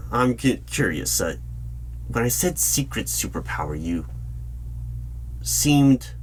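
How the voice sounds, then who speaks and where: A man playing a polite, probing hiring manager in an ASMR interview roleplay, speaking in measured phrases with deliberate pauses. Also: mid-range voice, sing-song, pitched above the performer's own voice, low-quality recording. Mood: curious. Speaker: job interviewer. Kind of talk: ASMR roleplay, spoken aloud. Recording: background noise with keyboard typing. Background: computer keyboard typing.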